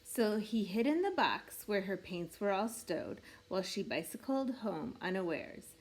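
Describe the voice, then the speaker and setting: A woman reading a rhyming picture book aloud in an expressive, measured storytelling way meant for children. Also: medium pitch. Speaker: storybook reader.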